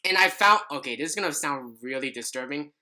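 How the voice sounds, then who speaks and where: A woman's voice: low-pitched, lively intonation, fairly quick, pushed and bright. A young adult woman telling a personal story in casual podcast chat, rambling and often stumbling over her words.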